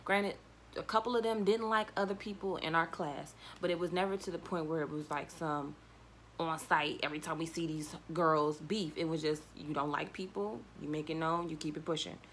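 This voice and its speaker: A young woman with a notably low voice tells a story as if chatting to friends. Her delivery is slangy and animated, slipping into quoted back-and-forth dialogue. There is clear ambient noise behind her. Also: moderate pace. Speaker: vlogger telling a personal story. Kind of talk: casual conversational storytelling.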